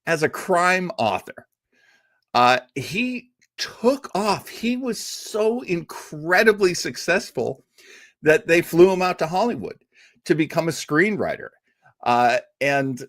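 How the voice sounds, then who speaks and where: A man's voice: on the high side, a bit bright, no room sound, studio quality. A man hosting a show who chats casually and with enthusiasm as he introduces a radio drama, with many fillers and asides.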